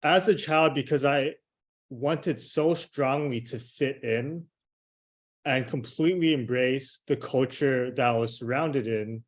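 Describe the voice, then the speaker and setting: A young man from Hong Kong, raised in Miami, giving a measured prepared speech over a video call. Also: mid-range voice. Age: young adult. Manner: slow, measured delivery with pauses. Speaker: club member giving a speech. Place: home room with shelves. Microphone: video call webcam.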